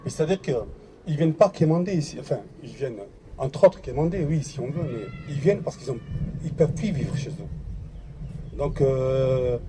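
A man's voice: medium pitch, moderate pace, noisy background. A French-born man speaking up in a public discussion, hesitant, full of restarts and fillers. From France. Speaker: participant in a public debate.

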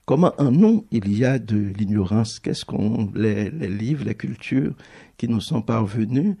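Creole-native male publisher, husky, mellow timbre, halting and thoughtful. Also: lowish voice, animated. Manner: thoughtful, halting, restarts phrases often. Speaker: book publisher. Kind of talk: reflective public talk.

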